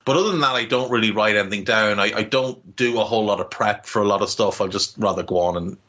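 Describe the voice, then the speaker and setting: A man co-hosting a casual podcast from home, talking in an animated way and swearing freely as his pitch jumps up. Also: fast, highs cut off. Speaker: podcast co-host.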